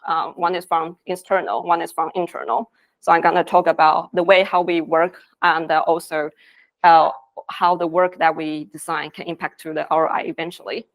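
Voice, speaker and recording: A woman's voice: deep voice, fairly even intonation, normal speed. A woman from Taiwan, earnestly presenting at a conference in phrasing. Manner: earnest, with non-native phrasing. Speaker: conference speaker, design lead. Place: conference stage.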